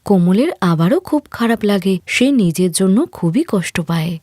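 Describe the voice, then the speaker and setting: A woman's voice: mid-range voice, lively intonation, normal speed, darkish tone, dry recording, clean studio sound. A woman narrating a scripted fairy tale as a storyteller.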